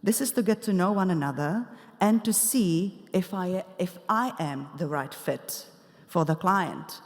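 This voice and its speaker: The long reverb of a big hall surrounds a woman presenting at a conference. She speaks in a lowish voice with an Eastern European accent. Her delivery is explanatory and a little halting, like a coach walking through prepared notes with asides. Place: large indoor conference hall.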